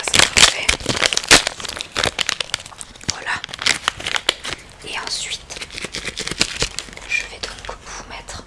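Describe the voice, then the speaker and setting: A woman speaks in a breathy, bright whisper. She plays an examiner in an ASMR roleplay, talking directly to the listener. Her manner is gentle and attentive as she explains each step. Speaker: ASMR creator playing a hearing examiner.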